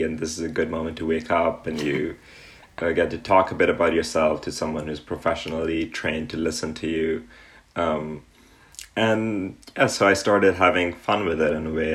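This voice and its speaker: Young male interview guest from Pakistan, extremely low voice, thoughtful and hesitant delivery. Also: a bit bright. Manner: thoughtful, with frequent ums and pauses. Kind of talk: relaxed reflective interview answers.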